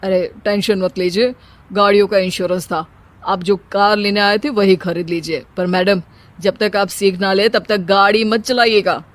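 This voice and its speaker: A courteous car showroom manager from a comic cartoon, acted by a man. He is reassuring and polite, with a gently admonishing edge. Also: high-pitched, fairly even intonation, moderate pace. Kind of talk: comic moral-story cartoon dialogue.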